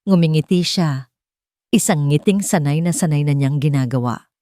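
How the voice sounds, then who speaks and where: A woman's voice: sits low, moderate pace, warm tone, dry recording, clean studio sound. A woman with a Filipino accent reading a dramatic love story as a scripted narration.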